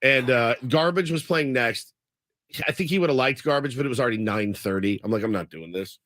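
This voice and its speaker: A man's voice: medium pitch, a little fast, dry recording, clean studio sound. A man hosting a sports radio talk show, casually telling a long story and acting out what people said. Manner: animated storyteller, acts out quotes.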